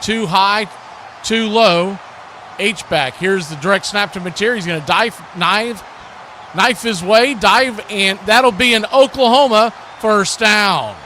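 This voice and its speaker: A man hosts a live football stream as play-by-play announcer. His voice sits high for a man and has a bright, forceful edge. He talks in short calls with folksy asides, over faint background noise. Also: slow.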